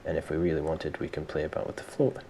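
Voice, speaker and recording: A man presenting a software tutorial step by step, informally thinking aloud as he explains. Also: extremely low pitch, little pitch movement, quick pace, gravelly, a little hiss or hum. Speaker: tutorial host.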